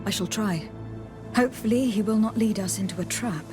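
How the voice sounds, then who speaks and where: A composed young noblewoman adventurer, played by an actress, with a medium pitch. She speaks earnestly and gravely, sometimes hushed, with soft game music behind her. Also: brisk, natural voice. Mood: cautious.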